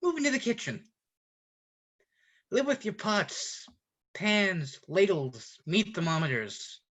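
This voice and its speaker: A man playing an exasperated roommate ranting at his fussy friend in a deliberate theatrical monologue with dramatic pauses. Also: sits high, big pitch swings, fairly bright tone, heavily acted. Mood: pleading, fed up. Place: online video call performance.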